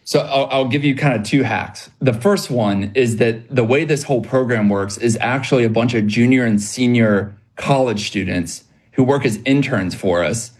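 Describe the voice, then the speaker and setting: A young man explaining his business as an interview guest, earnest and brisk. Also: medium pitch.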